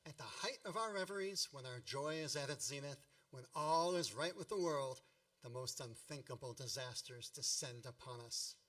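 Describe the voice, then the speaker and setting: A man's voice: mid-range voice, expressive, normal speed, fairly bright tone, distant mic, lightly acted, rough recording. An actor playing a grown man who narrates his boyhood memories in a radio play, wry, nostalgic and grandiloquent.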